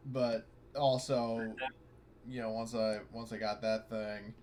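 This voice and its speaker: Rambling male gamer, lower voice, noisy. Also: level tone, slow pace. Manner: rambling, hesitant, frequently self-correcting. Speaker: player in an online tabletop role-playing game. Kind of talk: casual in-character and out-of-character game talk. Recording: clearly noisy online voice chat.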